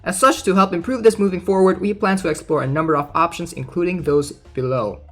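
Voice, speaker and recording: A man's voice: high-pitched, fairly quick, fairly bright tone. A man giving casual commentary as he reads out game news, informal and thinking aloud. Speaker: gaming content creator. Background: background music.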